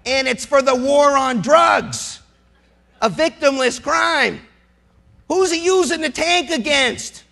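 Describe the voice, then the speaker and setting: A man's voice: extremely high pitch, takes it slowly, gravelly, pushed and bright. A middle-aged man, a lawyer, giving an impassioned political speech in an emphatic, sarcastic way, with rhetorical questions and repeated phrases.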